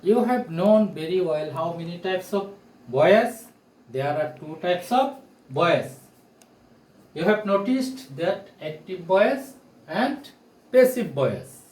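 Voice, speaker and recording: Guy teaching grammar on camera, slow and emphatic, repeats stuff, echoey little room. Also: high-pitched, expressive, a little mellow. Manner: deliberate, emphatic, repeats points and checks understanding with questions. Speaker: English teacher. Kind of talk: classroom grammar lesson to camera. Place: small indoor room beside a whiteboard. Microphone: phone or camera a short distance away.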